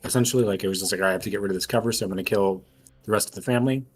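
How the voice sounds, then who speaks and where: A man's voice: lowish voice, racing, breathy edge. A man in casual discussion as a tabletop game player, reasoning things out.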